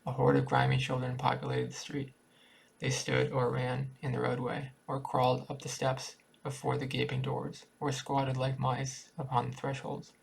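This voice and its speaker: Man, very gravelly, husky, mid-pitched, halting amateur read-aloud, literary narration. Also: low-quality recording. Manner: halting, stumbles and rereads words. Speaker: amateur story reader. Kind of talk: reading a literary short story aloud.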